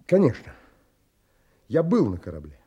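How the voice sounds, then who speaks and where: Earnest, animated young gentleman, husky. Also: medium pitch, moderate pace, dull audio, exaggerated voice. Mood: earnest, urgent. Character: earnest, determined young gentleman seeking sea passage. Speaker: actor narrating and playing the lead. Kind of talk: dramatised audiobook acting.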